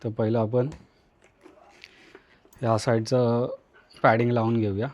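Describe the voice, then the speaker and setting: A man's voice: mid-range voice, little pitch movement, slow, darkish tone. A man with an Indian accent from Maharashtra gives a casual hands-on tutorial, talking to viewers in short, repeated instructional phrases. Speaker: vlogger, tutorial host.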